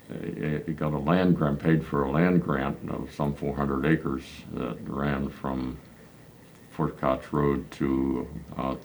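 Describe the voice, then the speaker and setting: Old man in his nineties, very deep voice, gravelly, flat delivery, halting with fillers. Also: a little hiss or hum. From Pennsylvania, United States. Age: 90s. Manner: slow, halting, many hesitant fillers and restarts. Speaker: elder congregant interviewee. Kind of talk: reminiscing interview answers.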